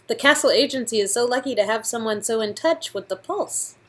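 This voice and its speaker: A woman's voice: a little high, expressive, brisk, close to an everyday voice. A woman playing a polite, plucky young aspiring actress in a comic dialogue reading.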